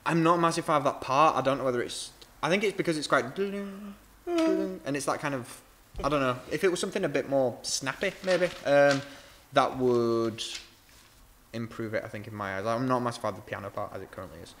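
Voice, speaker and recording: A man's voice: medium pitch, a little fast, a bit bright, a little hiss or hum. Rambling young man reviewing tracks. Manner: rambling, filler-heavy, frank. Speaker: music reviewer, host. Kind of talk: casual review chat.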